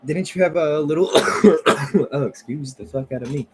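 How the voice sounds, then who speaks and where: A man's voice: on the high side, normal speed, heavy background noise. A man co-hosting a casual podcast from home, joking often, laughing easily and swearing freely. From United States. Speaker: podcast co-host. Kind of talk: casual chat. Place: home, remote recording. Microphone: home podcast mic.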